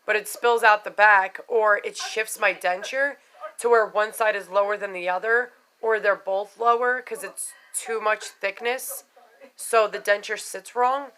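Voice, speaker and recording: Bright-voiced, frank, chatty woman vlogging. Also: medium pitch, steady tone, a little slow, a little hiss or hum, low-quality recording. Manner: frank and chatty, swears casually, many fillers. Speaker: vlogger sharing denture experiences. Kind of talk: casual unscripted vlog talk.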